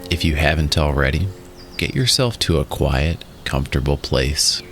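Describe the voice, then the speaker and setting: A man narrating a guided relaxation in a soothing, instructional way, in short phrases with pauses. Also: rumbling low, expressive, a bit bright. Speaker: guided meditation host. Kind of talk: guided meditation and hypnosis narration. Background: music.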